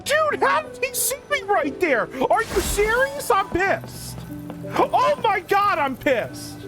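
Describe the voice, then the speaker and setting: A woman's voice: very high-pitched, sing-song, slow. A woman streaming a game with casual, blunt, slangy commentary and exclamations. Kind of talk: casual live gameplay commentary. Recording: game music behind voice.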